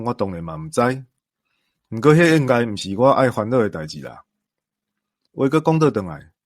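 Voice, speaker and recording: A man's voice: medium pitch, no room sound, studio quality. A man with a Taiwanese accent giving his views in a conversational discussion, earnest and emphatic. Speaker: commentator.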